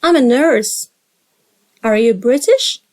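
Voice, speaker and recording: Woman, English teacher, lively sing-song intonation, slow drill delivery. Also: high-pitched. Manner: slow, carefully spaced drill reading. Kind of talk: repeat-after-me dialogue drill.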